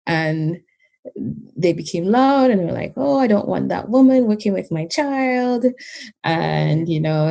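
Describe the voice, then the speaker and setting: Soft-voiced, gravelly Trinidadian-Canadian woman. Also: lowish voice. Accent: Trinidadian mixed with Canadian. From Trinidad and Tobago. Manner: calm and measured, laughs often. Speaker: guest, behaviour analyst. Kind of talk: casual interview conversation.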